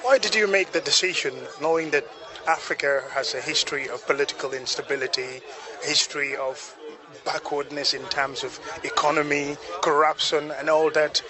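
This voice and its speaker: A man's voice: on the high side, fairly even intonation, moderate pace. A man asking a pointed, challenging interview question, listing his points one by one. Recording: outdoor recording with crowd noise. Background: crowd.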